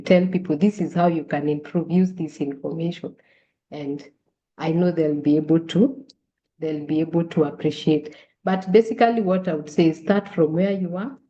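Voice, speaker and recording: A woman's voice: on the low side, mellow. A woman facilitator giving earnest advice at an online meetup, restarting her phrases now and then. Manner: earnest, advising, with frequent restarts. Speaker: guest facilitator. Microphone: video call. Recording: dull lo-fi call audio.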